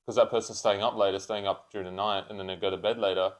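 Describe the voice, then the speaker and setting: A man's voice: fairly low pitch, level tone, quick pace. A man in his twenties chatting casually and unscripted as a podcast co-host, with blokey, sweary, slangy talk. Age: 20s.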